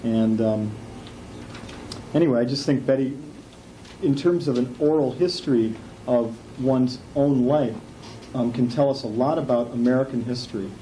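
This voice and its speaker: A man's voice: medium pitch, normal speed, mellow, a little hiss or hum, low-quality recording. A man from Indiana, a history teacher introducing a guest to his class, rambling and correcting himself as he goes. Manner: rambling, self-correcting, friendly. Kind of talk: classroom interview. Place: school classroom.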